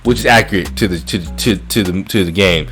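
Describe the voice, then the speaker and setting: A man's voice: deep voice, fairly quick, fairly bright tone, soft background music. A man hosting a casual movie-review chat, ranting with profanity and opinions, often repeating and stumbling over his phrases. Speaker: podcast host.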